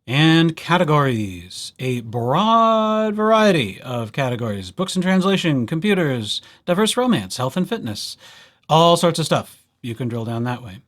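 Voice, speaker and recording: Playful man with lively, swooping intonation. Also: a little high, a little slow. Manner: playful, wry, chatty asides. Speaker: librarian presenting a tutorial series. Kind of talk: casual screen-share software walkthrough.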